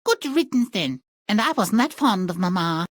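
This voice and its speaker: A man's voice: high voice, big pitch swings, normal speed, a bit bright, no echo, studio quality, full character voice. A man playing a spoiled, callous young daughter in a video game, haughty and overdramatic. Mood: petulant. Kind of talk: theatrical video game cartoon acting.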